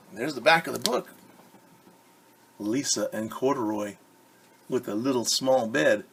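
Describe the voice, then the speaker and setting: Husky man reading to children. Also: medium pitch. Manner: warm, questioning teacher talk to kids. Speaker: teacher. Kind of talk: reading a picture book to children.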